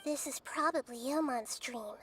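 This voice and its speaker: A woman voicing a gentle, wise, childlike goddess in an anime game, explaining things calmly and thoughtfully. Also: sits high, measured pace, heavy background noise.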